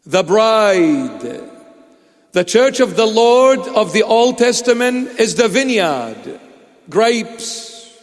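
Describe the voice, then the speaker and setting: A man's voice: high-pitched, sing-song, extremely slow. A man preaching a sermon, emphatic and repetitive, asking rhetorical questions with playful asides. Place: church hall. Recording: long reverb of a large hall.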